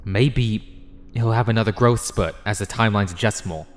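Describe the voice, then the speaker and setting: A man's voice: fairly low pitch, moderate pace, pitched above the performer's own voice, lightly acted. A man playing an anxious, defensive time-travelling alien in an audio drama, speaking in an agitated, insistent way.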